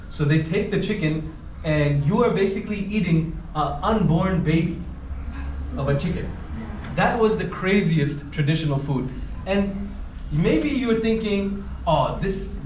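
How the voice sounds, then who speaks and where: A travel vlogger talking to a class of students in a school, animated and laughing often, using simple clear wording. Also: male voice, on the high side, a little hiss or hum. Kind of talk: casual talk to a class. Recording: echoey room, from a distance.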